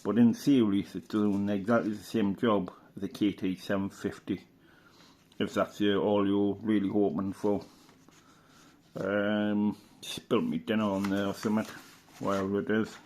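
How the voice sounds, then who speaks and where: A middle-aged man from the UK, a radio hobbyist chatting casually through an old catalogue, rambling and unhurried with lots of fillers and restarts. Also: fairly low pitch, level tone, a little mellow. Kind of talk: casual reminiscing commentary.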